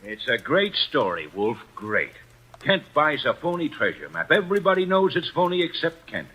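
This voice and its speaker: An actor playing a gruff, skeptical police inspector in a 1940s radio drama, blunt in manner. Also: male voice, fairly high pitch, sing-song, normal speed, muffled recording.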